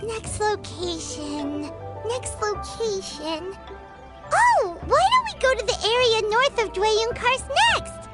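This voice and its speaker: Very high-pitched game fairy, chirpy, third-person talk, loud music behind. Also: female voice, slow, full character voice. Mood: musing. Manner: chirpy, excitable, talks of herself in third person. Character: tiny cheerful girlish fairy companion. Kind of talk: video game voice acting.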